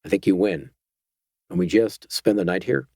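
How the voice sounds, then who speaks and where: A man voicing a guarded, tense male doctor on the run in an audiobook, low and clipped. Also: normal speed, vocal fry, dark tone, dry recording, clean studio sound.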